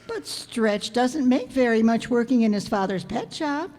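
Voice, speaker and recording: A woman's voice: a little high, sing-song, measured pace, a little mellow. A woman playing a wry, exasperated schoolteacher who tosses off dry quips in an old-time radio comedy. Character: wisecracking, exasperated female high-school teacher. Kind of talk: 1940s radio comedy acting. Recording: very echoey hall stage recording.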